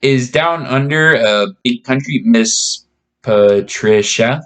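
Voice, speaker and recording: A man's voice: mid-range voice, takes it slowly, fairly bright tone. Casting: male reader as very small boy wizard in a storybook, earnest, anxious, formally courteous. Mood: worried. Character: tiny young Japanese boy wizard, polite, earnest, anxious.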